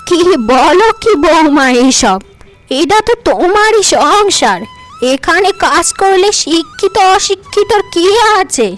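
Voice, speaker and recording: Sex: woman. Figure: fussy middle-aged mother-in-law in a cartoon tale, voiced by a woman. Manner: coaxing, shrill, fussing. Pitch: high. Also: expressive, a little slow, faint music behind, poor audio. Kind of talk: cartoon voice acting.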